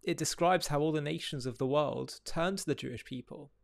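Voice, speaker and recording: Measured male presenter, close dry sound. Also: a little high, gravelly, no echo. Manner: measured, deliberate, phrase by phrase. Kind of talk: reflective commentary to camera.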